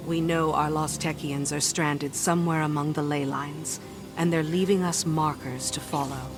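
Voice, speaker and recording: An actress playing a serious, commanding strategist in a video game, giving a measured, explanatory mission briefing. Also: female voice, low-pitched. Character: grave, commanding woman strategist briefing a soldier.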